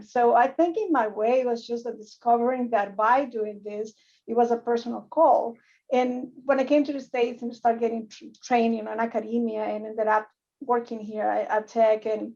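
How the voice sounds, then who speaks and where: Panelist: a woman with a fairly high voice and an earnest, halting delivery over a dull call line. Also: a little mellow. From Costa Rica. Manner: earnest, frequent restarts and repeated words. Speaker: panelist, academic. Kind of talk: panel discussion. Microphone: video call.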